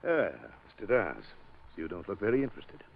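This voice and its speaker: A man's voice: on the low side, sing-song, a little fast. A smug, scheming rich man. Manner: sneering, commanding. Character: smug wealthy male diamond owner, scheming and curt. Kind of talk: 1940s radio acting. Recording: dull old radio broadcast with faint hiss.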